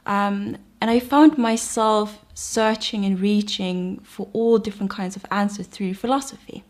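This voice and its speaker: A woman's voice: medium pitch, unhurried. A young woman from Denmark with a Danish accent, reflectively telling her personal conversion testimony. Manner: reflective, earnest. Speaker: convert sharing her story.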